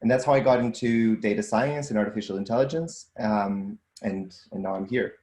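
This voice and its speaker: A man's voice: fairly low pitch, brisk, darkish tone, reverberant. A young man from Portugal with a Portuguese accent, answering interview questions thoughtfully with frequent ums and restarts. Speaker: guest, data scientist and entrepreneur.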